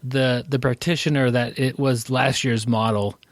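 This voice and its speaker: A man's voice: mid-range voice, fairly even intonation, moderate pace, dry recording. A man co-hosting a podcast in casual, rambling chat, often restarting his sentences. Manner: rambling, self-interrupting. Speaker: podcast co-host.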